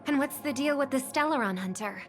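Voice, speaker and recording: Reserved, higher-voiced young woman. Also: expressive, a little fast, soft background music. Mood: guarded. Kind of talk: video game voice acting.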